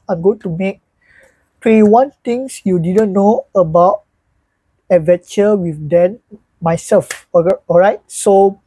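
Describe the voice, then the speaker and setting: A man's voice: high voice, mellow, slightly rough, no echo, poor audio. A young man of twenty-one, chatting loosely to camera in a small bedroom and often repeating "so yeah" and "alright, guys". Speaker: YouTuber. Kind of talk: casual vlog talk to camera. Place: small bedroom with a fan, indoors, seated.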